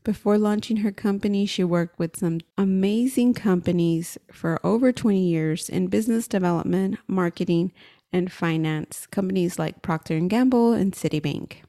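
A woman's voice: lowish voice, normal speed, dark tone. A woman hosting a podcast, introducing and questioning her guest in a conversational interview style.

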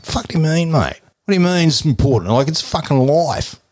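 A man's voice: a little high, lively intonation, a little fast, a little mellow, no echo, clean studio sound. A man with an Australian accent playing a middle-aged, boastful, foul-mouthed tradie dad who rambles with fillers and swears constantly. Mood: fired up. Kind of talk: comedy podcast character interview.

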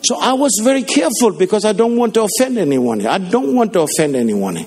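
A man preaching in a church, his voice gravelly and fairly high. He swings widely in pitch as he tells stories and exhorts the congregation, with faint instrumental music playing behind him. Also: sing-song, fairly quick, darkish tone. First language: Danish. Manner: fervent, storytelling, with rising exhortations. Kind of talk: sermon. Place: church service.

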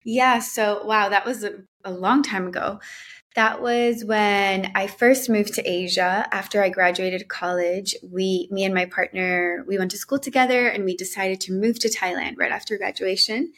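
A woman from Colombia speaks as a podcast guest, recounting her path in an earnest, reflective manner. She talks casually and leans on filler words as she thinks aloud. Her voice sits at an ordinary middle pitch. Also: steady tone, moderate pace, fairly bright tone. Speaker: podcast guest, women's mentor. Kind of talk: casual conversational storytelling.

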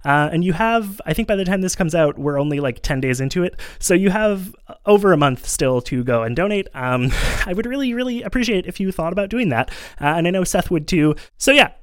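A man from Canada co-hosting a podcast, chatting casually in a relaxed, rambling way and chuckling often. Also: fairly high pitch, no echo, clean studio sound. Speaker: podcast co-host.

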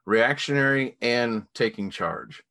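Bright-voiced easygoing male host. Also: medium pitch, takes it slowly, creaky. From Missouri. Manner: relaxed, easygoing, good-humored. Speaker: podcast host. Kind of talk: casual interview chat.

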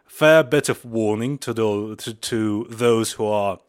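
A man's voice: on the low side, slow, clear, resonant tone. A young man from Buryatia in Russia, a native Mongolian speaker, hosting a podcast in a rambling style full of fillers and restarts. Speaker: podcast host. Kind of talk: casual podcast intro.

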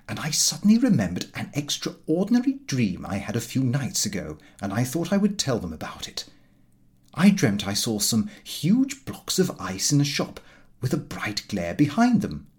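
A man reading a comic first-person diary aloud in an expressive, fussy storytelling manner. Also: mid-range voice, breathy edge. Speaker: audiobook narrator.

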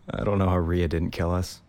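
Slow, low, reflective narrator, acted by a man. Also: darkish tone, heavily acted. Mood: wry. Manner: halting, reflective. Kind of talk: audio drama confessional narration.

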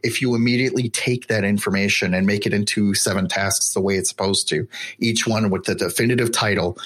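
A man talking in a relaxed way as an interview guest about running a remote team, with lots of "you know" and "right?". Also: on the low side, slightly rough. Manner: conversational, with many fillers. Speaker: remote business owner, guest. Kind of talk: casual interview chat.

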